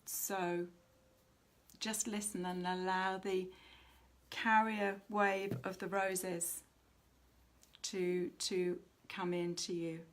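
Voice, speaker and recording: A woman hosting a live spiritual oracle reading, speaking to viewers in a measured way with frequent pauses mid-sentence. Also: lowish voice, level tone. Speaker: host of a spiritual card reading.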